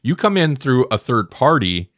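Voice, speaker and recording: A man co-hosting a casual review podcast, animated as he talks and voicing reactions. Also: medium pitch, moderate pace, dry recording, studio quality. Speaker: podcast co-host.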